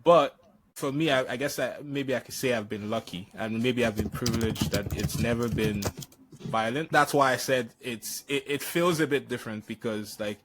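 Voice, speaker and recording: A man's voice: mid-range voice, moderate pace, a bit bright, a little hiss or hum. A man who grew up in Nigeria, hosting a podcast interview and speaking hesitantly, often repeating words as he thinks. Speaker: podcast host. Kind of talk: conversational interview.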